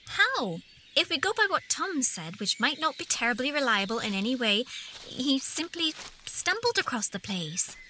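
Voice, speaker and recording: A feisty young sister, played by a woman, high and bright, sassy and skeptical in a fantasy audio drama. Her pitch jumps around animatedly. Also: big pitch swings, normal speed, a little hiss or hum, heavily acted. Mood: mocking, skeptical. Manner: sassy, exclamatory.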